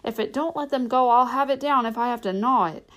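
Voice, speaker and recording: A woman's voice: on the high side, expressive, a little fast, natural voice. Woman playing practical manservant, rustic, dogged, loyal, fierce, storybook character. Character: loyal, fierce, practical male servant on a journey.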